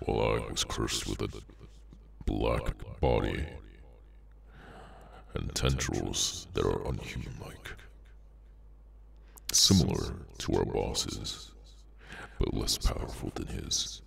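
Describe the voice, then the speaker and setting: A man voicing a cursed, inhuman henchman in an ASMR roleplay, bored, sardonic and menacing, with an unhurried dry delivery. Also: a bit bright, distant mic, heavily acted. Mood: dryly explaining. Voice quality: very deep, gravelly. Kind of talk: ASMR audio roleplay, slow dramatic monologue.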